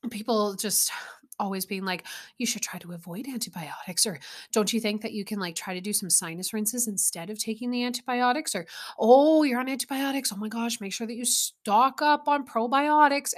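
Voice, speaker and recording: A chatty woman in her thirties hosts her own podcast, rambling freely with exasperated asides. Her voice is bright and forward, with a mid-range pitch for a woman. She sounds relaxed and conversational throughout. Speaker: podcast host. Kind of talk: casual solo podcast chat.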